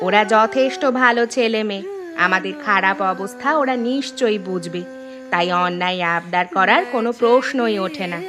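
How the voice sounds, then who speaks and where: A woman playing a firm, reassuring village housewife and mother in a Bengali cartoon, scolding yet caring and expressive. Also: medium pitch, normal speed, music in the background, lightly acted.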